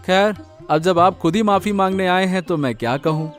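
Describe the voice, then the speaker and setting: A man voicing an eager, kind young village son in a cartoon, excitable and prone to stammering. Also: high-pitched, normal speed, faint music behind, natural voice. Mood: earnest. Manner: excitable, stammers when flustered. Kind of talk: Hindi cartoon dubbing.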